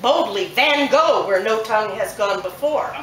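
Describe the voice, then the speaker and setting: A woman poet reads her work aloud to a live audience in a bookshop, her fairly high voice pressing hard on each item of a long list. She is caught from across the room, so the sound is roomy and echoing. A faint ambient hum sits underneath. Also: lively intonation, normal speed. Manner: rhythmic, emphatic delivery of long lists. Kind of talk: live performance poetry reading. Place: bookshop at a poetry festival event. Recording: echoey room, mic set far off.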